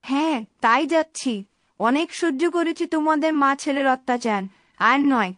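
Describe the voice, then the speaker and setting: A woman's voice: sits high, normal speed, a bit bright, dry recording, clean studio sound. A woman voicing a firm older sister. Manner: firm, decisive. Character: resolute older sister, hotel cook.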